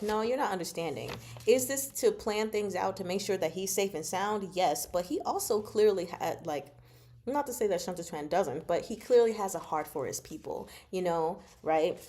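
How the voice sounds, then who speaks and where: A woman hosting a casual book discussion livestream, chatting to viewers in an expressive, exclaiming manner. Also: on the low side. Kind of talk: casual chat, discussing a novel with live viewers.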